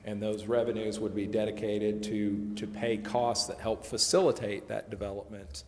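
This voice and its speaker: Male consultant, slightly low pitch, informal explainer delivery, reverberant hall with faint noise. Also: little pitch movement, normal speed, darkish tone. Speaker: public finance consultant presenting. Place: large indoor council chamber. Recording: echoey chamber, long reverb, faint noise.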